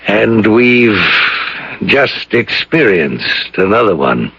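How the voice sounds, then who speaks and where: A gracious, ominous older colonel in radio drama. He drawls gallantly in a husky voice with big swings of pitch. The old recording is dull and dry. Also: big pitch swings, takes it slowly, no room sound, full character voice. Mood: gracious, genial.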